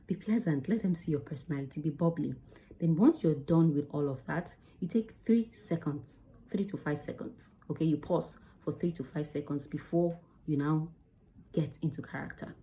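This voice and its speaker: A woman's voice: low voice, normal speed, dark tone, slight background noise, rough recording. A woman giving casual, emphatic advice on self-tape auditions, acting out examples as she goes. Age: adult under 35. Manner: chatty, emphatic, demonstrates lines. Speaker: acting coach. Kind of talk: casual teaching to camera.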